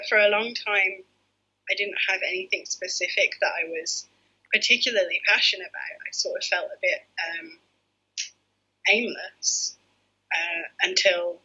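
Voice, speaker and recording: A woman, a head teacher telling her story hesitantly, with many fillers and a breathy voice. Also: medium pitch, sharp tone. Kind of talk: personal story in interview. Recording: muffled lo-fi audio.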